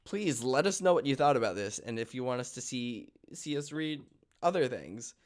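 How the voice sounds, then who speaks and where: A man's voice: mid-range voice, expressive, normal speed. A man speaking casually as the host wrapping up a group livestream.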